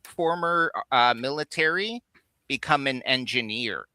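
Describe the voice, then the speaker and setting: A man's voice: medium pitch, expressive, a little slow, sharp tone, no room sound. A man in casual, explanatory conversation as a co-host on a geek talk stream. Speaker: guest co-host on a geek countdown livestream. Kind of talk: casual chat.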